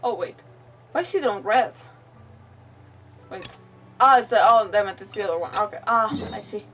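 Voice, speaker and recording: A woman's voice: medium pitch, moderate pace, fairly bright tone, breathy edge, distant mic. A young woman from Mexico, a native Spanish speaker, chatting casually and bubbly as she streams a game. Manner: bubbly, rambling, giggly. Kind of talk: casual livestream chat while playing. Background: game music.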